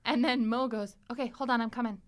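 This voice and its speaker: High, clear-voiced woman hosting a chat. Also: fast talker. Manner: animated, joking, laughs easily. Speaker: podcast host. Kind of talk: casual panel chat.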